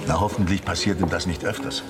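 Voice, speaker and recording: An actor playing a grumbling, jocular husband on a package tour in a radio drama. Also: male voice, deep voice, fairly even intonation, quick pace, natural voice. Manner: complaining, joking. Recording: music behind the voice.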